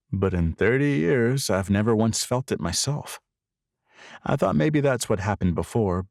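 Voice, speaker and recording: A man's voice: on the low side, vocal fry, a little mellow, no room sound, clean studio sound. A man narrating a first-person romance novel as an audiobook.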